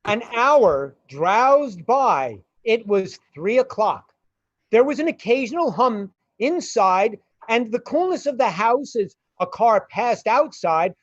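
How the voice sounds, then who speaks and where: Slow, expressive woman narrator. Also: fairly high pitch, no echo, lo-fi sound. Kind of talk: scripted story narration.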